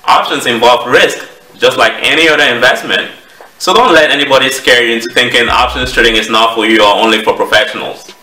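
Man, thirties, Cameroonian accent, measured educator presenting, forceful bright tone, echoey small room. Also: mid-range voice. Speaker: options trading educator. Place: small home office, indoors. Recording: roomy echo, distant microphone.

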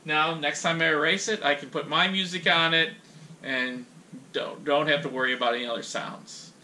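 A middle-aged man giving a casual, folksy how-to talk at home, speaking haltingly into a camera a few feet away. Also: fairly high pitch, fairly even intonation, bright, forceful tone, a little hiss or hum. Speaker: host demonstrating audio gear. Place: room in a house.

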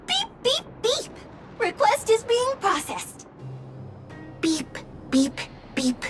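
An actress voicing a small, eager robot helper in a video game, with perky singsong announcements and salesy politeness. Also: female voice, very high voice, huge pitch swings, slow pace, loud music behind the voice, exaggerated voice. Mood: brisk, announcing. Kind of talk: scripted video game voice acting.

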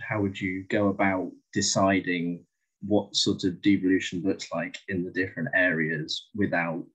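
Low husky man on a dull video call. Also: fairly bright tone. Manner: hesitant, full of "sort of" and restarts. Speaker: audience questioner.

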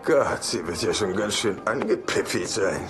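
An actor playing a good-natured, naive middle-aged bridegroom who is tipsy, in a German-dubbed sitcom. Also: male voice, fairly low pitch, normal speed, music in the background, lightly acted. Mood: tipsy, giddy.

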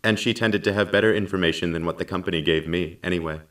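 A man acting as a calm, precise male artificial intelligence in a science fiction audio drama, speaking formally and with composure. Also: low-pitched, fast talker, recorded from a distance. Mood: somber.

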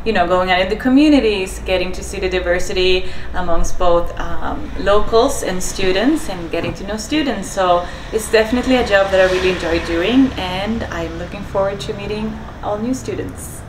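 A woman's voice: medium pitch, moderate pace, hardly any pauses, echoey room, noisy background. Swedish-accented woman speaking to camera. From Sweden. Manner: friendly and matter-of-fact, with a dry sarcastic streak. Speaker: student services coordinator. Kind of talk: scripted staff introduction to camera. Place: small indoor room, seated on a sofa.

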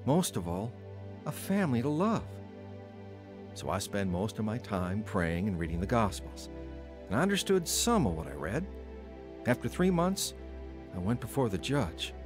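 An actor in an audio drama playing an earnest, emotional, wavering ex-convict who has newly found religion. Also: male voice, fairly low pitch, big pitch swings, normal speed, pauses often, music in the background. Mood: searching, reflective. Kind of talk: audio drama acting and first-person narration.

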